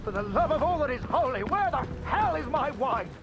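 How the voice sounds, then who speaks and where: An actor playing an overbearing, irate military man barking orders in a game drama, muffled under loud music. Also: very high-pitched, big pitch swings, moderate pace, exaggerated voice. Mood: impatient, irate.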